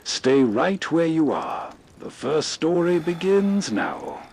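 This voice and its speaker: A man with a fairly high, husky voice hosts a late-night radio program. He reads scripted, atmospheric narration very slowly, setting the scene as a storyteller would. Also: sing-song, darkish tone.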